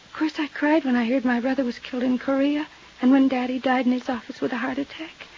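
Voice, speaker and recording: An actress playing a fragile, unhinged wealthy widow in a 1950s radio drama, rambling in halting, repetitive phrases. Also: female voice, sits high, fairly even intonation, a little mellow. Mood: dazed, wistful. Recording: muffled, hissy 1950s radio recording.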